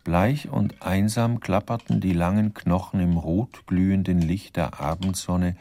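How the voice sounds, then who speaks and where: An actor playing the wry narrator of a comic crime radio play, measured and dryly ironic. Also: male voice, low voice, fairly even intonation, mellow.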